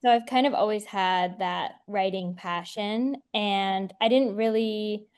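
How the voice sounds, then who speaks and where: A young woman in her twenties talks about herself in a casual, chatty way, leaning on filler words as she goes. Her voice sits at an ordinary middle pitch. She sounds like a podcast guest telling her story. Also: measured pace, smooth. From northwest Indiana, near Chicago. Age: 20s. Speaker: guest author.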